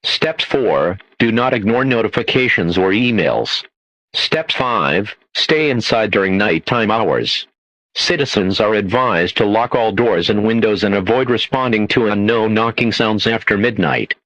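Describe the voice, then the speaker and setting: A man delivering a formal, scripted emergency broadcast as an announcer, in a stern, measured official manner. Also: lowish voice, lively intonation, a bit bright, no echo, low-quality recording.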